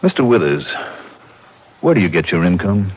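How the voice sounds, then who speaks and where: Tough insurance detective for old-time radio crime show: lowish, raspy, pressing and skeptical, muffled period recording. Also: male voice, very animated, moderate pace, heavily acted. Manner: clipped, probing, insistent. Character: tough, hard-nosed male insurance investigator, insistent and skeptical. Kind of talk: 1950s radio detective acting. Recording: dull vintage radio recording.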